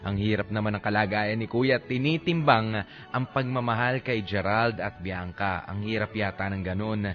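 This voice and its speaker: A man's voice: lowish voice, moderate pace, fairly bright tone. A man with a Filipino accent co-hosting a radio show in casual, joking banter. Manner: jokey, conversational. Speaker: radio DJ and co-host. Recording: slightly dull audio, music bed.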